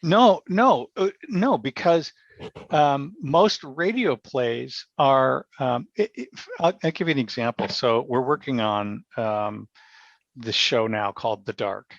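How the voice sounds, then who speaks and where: Man, gravelly, casual interview storyteller, self-effacing, dull recording. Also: mid-range voice, animated, slow. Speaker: guest, radio theatre director. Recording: dull, muffled lo-fi roomy sound.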